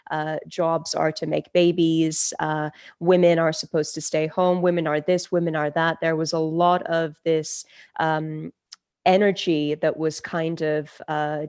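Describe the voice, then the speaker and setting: Woman in her 30s from turkey, low steady voice, talks it through with lots of ums, dry sound. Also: clear, resonant tone, no echo. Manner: reflective, many fillers and restarts. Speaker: guest, spiritual teacher. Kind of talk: podcast interview storytelling.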